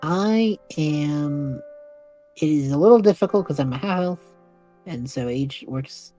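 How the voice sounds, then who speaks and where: A man's voice: on the high side, animated, measured pace, dark tone, soft background music. A man playing an easygoing half-elf student in his early twenties in an improvised story podcast, casual, good-natured and a little hesitant. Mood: willing, hesitant.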